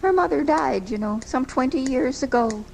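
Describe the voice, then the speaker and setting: An actress playing a feisty, fretful frail old American spinster who scolds in folksy, indignant style in a live radio drama. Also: female voice, sits high, animated, normal speed, creaky, darkish tone, exaggerated voice. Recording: noisy amateur off-air radio recording from 1984.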